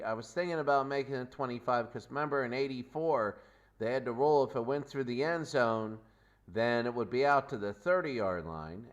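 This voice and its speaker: A man's voice: medium pitch, clean tone, muffled recording. A man hosting a hobby football game, explaining the rules and then calling the plays in an old-time radio announcer style.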